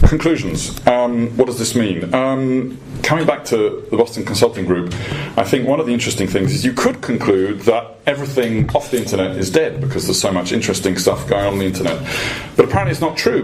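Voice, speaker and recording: A man giving a prepared policy presentation to a room as a guest speaker, in a measured, lightly humorous manner with pauses. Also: medium pitch, a little mellow. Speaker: guest speaker, industry association executive. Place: event room at a dinner debate. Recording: clearly noisy live event recording.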